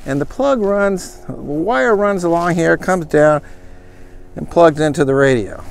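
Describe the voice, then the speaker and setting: A man's voice: a little high, measured pace, gravelly, a little hiss or hum. Folksy man rambling through a how-to. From Southern California. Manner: rambling, self-correcting, folksy. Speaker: vlogger. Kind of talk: casual how-to vlog. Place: outdoors by a truck camper.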